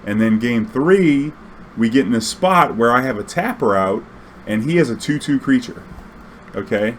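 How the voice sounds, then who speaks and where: A man's voice: medium pitch, moderate pace, poor audio. Slangy man storytelling over background noise. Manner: slangy, swearing, animated retelling with acted-out dialogue. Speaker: storyteller recounting his own tournament games. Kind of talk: casual spoken anecdote.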